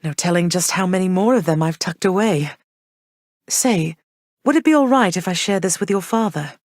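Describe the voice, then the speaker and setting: An actress voicing a gentle, motherly goddess queen of the underworld, speaking warmly and graciously in game voice lines. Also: fairly low pitch, lively intonation, normal speed, no echo. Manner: warm, gracious, softly reassuring. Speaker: voice actor. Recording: dry studio voice recording.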